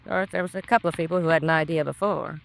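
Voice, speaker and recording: A woman's voice: low-pitched, fairly even intonation, very fast, vocal fry, rough recording. An actress playing a nosy, gossipy, disapproving landlady in a 1950s radio crime drama, with folksy, chatty colloquial speech.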